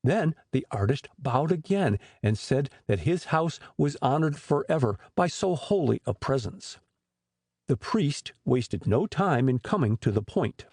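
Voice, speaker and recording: A man narrating a storybook audiobook in an expressive storytelling manner. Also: mid-range voice, a little slow, slightly rough, no echo, clean studio sound.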